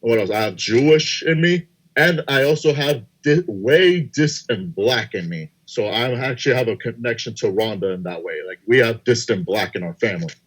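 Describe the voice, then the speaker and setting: A young man co-hosting a casual podcast, speaking hesitantly with many pauses and restarts. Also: mid-range voice, animated, husky, poor audio. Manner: hesitant, full of fillers and restarts. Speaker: podcast co-host.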